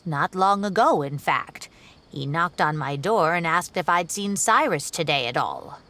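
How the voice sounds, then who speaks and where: A woman's voice: deep voice, a bit bright, exaggerated voice. A woman voicing an older, kindly, fussy female professor in a video game, talking in a chatty way. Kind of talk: game voice acting.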